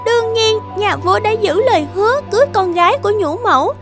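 A woman's voice: very high voice, lively intonation, brisk, music in the background. A woman voicing a small, loyal talking dove messenger in a cartoon fairy tale, earnest and respectful. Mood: earnest, reassuring.

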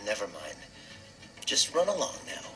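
A man playing a stern, protective lion king and father in an animated film, earnest and admonishing. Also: lowish voice, moderate pace, soft background music, full character voice, rough recording. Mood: firm.

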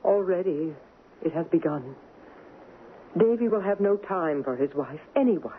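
An actress playing a gentle, resigned middle-aged mother, genteel and confiding, in a 1970s radio drama. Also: on the low side, animated, moderate pace, natural voice. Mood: sorrowful, warning. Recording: muffled, noisy 1970s radio broadcast.

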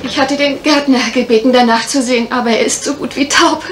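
A woman's voice: sits high, steady tone, a little fast, natural voice. A woman playing a polite, flustered guesthouse landlady in a German radio crime drama. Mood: flustered, reassuring. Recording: very noisy old radio play tape.

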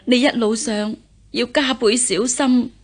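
Slow, husky, grave older mother. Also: a little high, fairly even intonation. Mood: solemn, instructing. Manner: measured, solemn instruction. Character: grave, caring older mother, lady of the house. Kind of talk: Cantonese historical radio drama acting.

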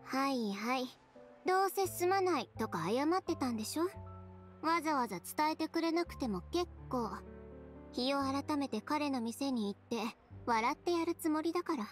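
A woman's voice: high voice, animated, normal speed. A woman playing a self-assured, blunt young fashion designer in an anime video game, confident and dryly teasing. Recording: game music behind the voice.

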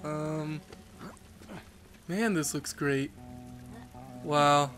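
A man's voice: a little high, fairly even intonation, drawn-out words, music in the background. A congested young man giving jokey, rambling commentary while playing a video game. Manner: rambling, jokey, self-mocking. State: congested from allergies. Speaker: let's play host. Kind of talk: casual gameplay commentary.

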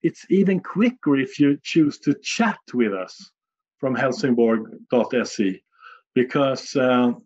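A man from Sweden with a Stockholm accent, a non-native English speaker answering interview questions in a measured, plain-spoken way with small slips. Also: mid-range voice, expressive, darkish tone, husky, dull audio. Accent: Stockholm Swedish. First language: Swedish. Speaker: guest, communications manager.

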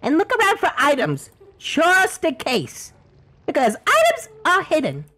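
A woman's voice: high voice, huge pitch swings, measured pace, fairly bright tone. A woman doing playful gameplay commentary in character, with sing-song, theatrical exclamations. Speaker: gamer playing in character.

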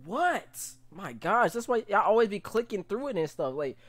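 Casting: young American male reaction host, jokey and slangy, widely swinging pitch, close dry mic. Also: normal speed, no echo. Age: young adult. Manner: jokey, animated, slangy, often laughing. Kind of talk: casual reaction commentary. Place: small room, indoors. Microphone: desk condenser mic with pop filter.

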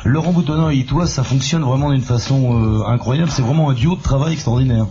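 A man hosting a live radio phone-in, lively and jokey, taking listeners' calls. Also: medium pitch, muffled recording, slight background noise. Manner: lively, jokey, quick to laugh. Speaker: radio host. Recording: 1980s FM radio cassette, music under.

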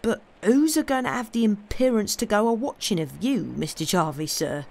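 A woman's voice: medium pitch, animated, moderate pace, gravelly, a little mellow, voice pushed up. A woman voicing a plain-spoken rustic working man in a storybook, folksy, blunt and deferential. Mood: puzzled, concerned.

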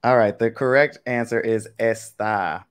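A low-pitched young man running a live-streamed language quiz from his home setup. He speaks in a loose, chatty way, addressing the chat directly, with a somewhat deep voice. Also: measured pace, smooth. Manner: casual, chatty, talks to chat with y'all. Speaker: Spanish teacher. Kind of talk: live-streamed game lesson.

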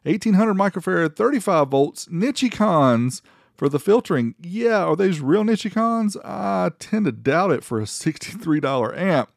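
A man's voice: sits high, very animated, normal speed, vocal fry, no room sound, clean studio sound. A man narrating a casual product review in a conversational, folksy way. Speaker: host of an amplifier review channel.

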